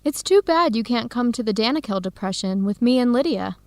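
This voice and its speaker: An actress playing an eager, earnest young Ethiopian-American student researcher in a radio drama for English learners, speaking clearly and in measured phrases. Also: female voice, a little high, expressive, no room sound. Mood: friendly, upbeat. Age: young adult.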